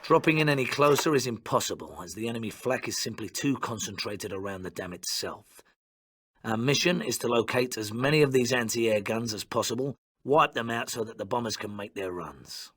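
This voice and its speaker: An actor playing a brisk, authoritative British army officer giving a clipped mission briefing in a war game. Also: male voice, medium pitch, expressive, fairly bright tone, husky. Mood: firm, businesslike. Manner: commanding, clipped, matter-of-fact.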